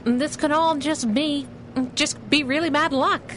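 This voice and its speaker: A woman's voice: sits high, fairly even intonation, a little slow, background noise. An actress playing a sassy young female sidekick in a 1930s radio drama, with a cheeky, slangy, teasing way of talking. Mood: curious, skeptical. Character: sassy, wisecracking young woman, superhero's loyal sidekick.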